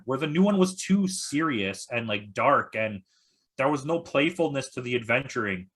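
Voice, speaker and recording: A man's voice: medium pitch, lively intonation, moderate pace, fairly bright tone, husky. A man in a casual movie discussion, speaking as a guest critic with emphatic, hyperbolic complaints.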